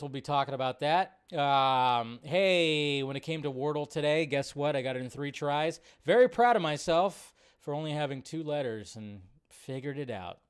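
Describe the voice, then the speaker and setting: A man hosting a live show, chatting casually and directly to viewers in a rambling, colloquial way. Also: medium pitch. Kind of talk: casual livestream chat.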